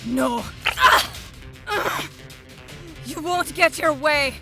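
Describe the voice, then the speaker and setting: A woman's voice: high voice, unhurried, bright, forceful tone, soft background music, full character voice. A woman playing a cruel, gloating immortal enchantress in an audio drama, taunting and theatrical, savoring her words. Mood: manic taunting. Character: gloating, cruel immortal enchantress who transforms people into swans.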